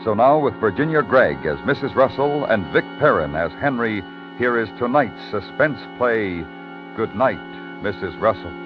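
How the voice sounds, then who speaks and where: A man announcing in formal, measured, dramatic 1950s radio style, reading from a script. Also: lowish voice, expressive. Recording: dull vintage radio, music behind.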